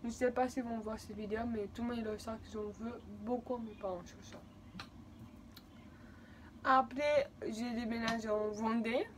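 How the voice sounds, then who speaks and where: A woman's voice: fairly high pitch, steady tone, moderate pace, slight background noise. A woman in her twenties from Spain, speaking French with a Spanish accent, a native Spanish speaker telling a rambling personal story in a vlog. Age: 20s. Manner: rambling, repetitive, emotional. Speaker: vlogger.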